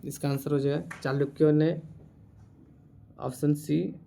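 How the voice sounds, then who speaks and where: Flat-toned man reading quiz questions. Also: a little high, a little slow, vocal fry. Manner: instructional, repeats answers. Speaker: quiz teacher for exam preparation.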